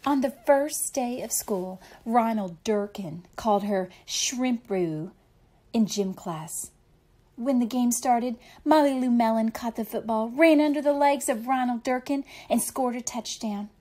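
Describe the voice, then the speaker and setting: A woman reads a children's storybook aloud in a fairly high voice, taking her time over every phrase. She is expressive and speaks simply and directly to young listeners, like a teacher with a class. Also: unhurried. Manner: simple explanatory asides addressed to children. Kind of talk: expressive picture-book read-aloud for children.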